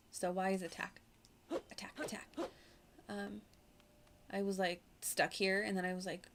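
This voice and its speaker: A woman's voice: medium pitch, a bit bright, slight background noise. A woman streaming a game with casual, slangy commentary, rambling as she reacts aloud.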